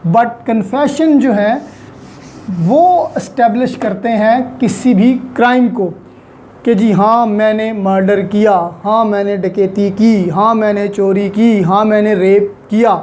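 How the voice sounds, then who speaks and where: A woman with a Pakistani accent giving an explanatory law lecture, deliberately and with repeated key points. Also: mid-range voice. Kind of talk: explanatory lecture mixing in English legal terms. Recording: faint steady background noise.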